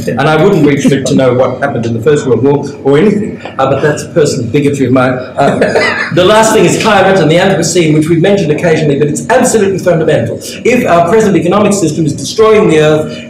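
An older man speaking bluntly and emphatically as a panelist in an academic discussion. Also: a little high. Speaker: conference panelist. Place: outdoor conference terrace. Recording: echoey with background noise.

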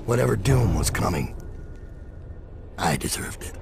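An actor voicing a grim, guilt-ridden middle-aged master assassin in a video game, brooding, deliberate and menacing, with a gravelly voice. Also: male voice, sits low, big pitch swings, over music, full character voice. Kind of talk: video game voice acting, dramatic monologue.

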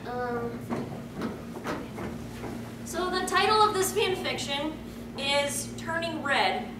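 Distant echoey recording, young woman, high voice, unhurried, wry comedic reading, projected delivery. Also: lively intonation. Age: young adult. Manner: projecting to a room, wry asides. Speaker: convention panel host. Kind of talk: comedic live reading of fan fiction. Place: small convention panel room. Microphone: far camera. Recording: echoey room, distant camera, noisy.

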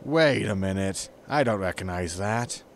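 Exasperated space captain , male actor, audio sitcom. Dry, scolding, sarcastic, lively intonation over faint ambient noise. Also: deep voice, a little slow. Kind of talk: sci-fi sitcom audio drama acting.

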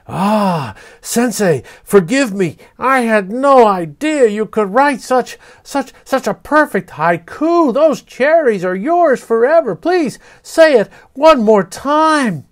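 A proud, teasing male fox spirit from a storybook, put on by a man telling the tale. He speaks high and loftily, with a voice that rises and falls widely. The delivery stays unhurried. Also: big pitch swings, heavily acted. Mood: gushing.

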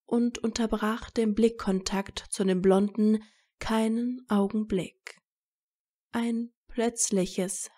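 Slow, mellow woman narrator with creaky edge. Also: medium pitch. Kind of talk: scripted narration of romantic fan fiction audiobook.

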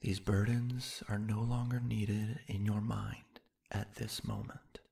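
Male sleep-hypnosis narrator: lowish, husky with some fry, soft, unhurried delivery with long pauses. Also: little pitch movement. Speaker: guided meditation narrator. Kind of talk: sleep hypnosis guided meditation, slow scripted narration.

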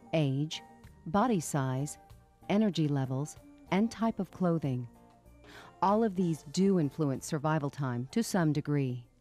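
A woman reading scripted instructional narration as a narrator, in a measured, clearly enunciated manner. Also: low-pitched, dark tone.

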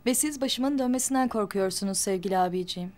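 A woman's voice: medium pitch, fast talker, darkish tone, close to an everyday voice. A young actress playing a proud, wary half-sister who answers her brother in a cool, slightly sarcastic way. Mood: guarded. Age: young adult. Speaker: newly recognized daughter of a rich family. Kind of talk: television drama acting.

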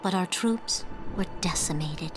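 Woman doing grave game captain lady, dutiful, slow and formal, music under it. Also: on the low side, lightly acted. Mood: somber. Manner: solemn, formal. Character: grave, dutiful, remorseful female military captain. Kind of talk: video game voice acting.

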